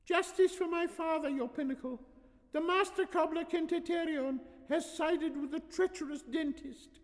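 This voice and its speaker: A man voicing an innocent, dutiful young girl in a storybook, with a childlike falsetto and a naive, recited manner. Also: normal speed, in a large hall. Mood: pleading.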